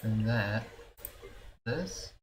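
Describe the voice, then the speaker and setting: Slow-talking male gaming streamer. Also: on the low side, animated, a little hiss or hum. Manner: thinking aloud in short fragments. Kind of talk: casual gameplay commentary. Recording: game music behind the voice.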